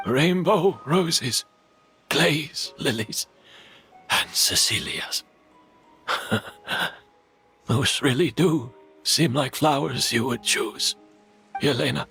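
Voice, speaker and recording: Dramatic dying game master, halting and slow, swooping pitch, wistful. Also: male voice, animated, vocal fry, bright, forceful tone, faint music behind, heavily acted. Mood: bitter, wistful. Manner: halting, theatrical. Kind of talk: video game voice acting.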